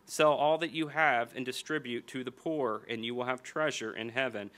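A man in his forties with an American accent preaching a sermon and reading scripture at a lectern, slowing down and repeating key phrases for emphasis. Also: medium pitch, a bit bright. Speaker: pastor. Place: church hall stage at a lectern. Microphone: small stand mic on the lectern.

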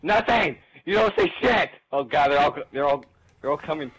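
Excitable male gamer, swears freely, lively high-ish delivery. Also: moderate pace, slightly rough, poor audio. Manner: excitable, swearing, laughing, loud protests. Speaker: gamer in party chat. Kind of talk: casual multiplayer banter.

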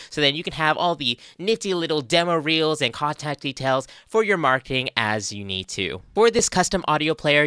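A woman's voice: deep voice, fairly bright tone, clear, resonant tone, no echo. A young adult woman with an Australian accent presenting a scripted tutorial into a close studio mic. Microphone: close studio mic on boom arm.

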